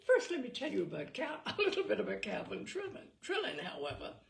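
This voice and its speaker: A woman reads humorous essays aloud with dry wit, her delivery rising and dipping in a very lively way. Her voice has a gravelly, creaky texture, and the recording sits in a roomy space with a faint hiss behind it. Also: medium pitch, fairly quick. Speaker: reader.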